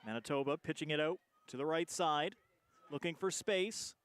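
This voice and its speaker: A man commentates live on a rugby match as the play-by-play announcer. His voice sits a little higher than most men's as he follows the action. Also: moderate pace, a bit bright, clean tone, no echo.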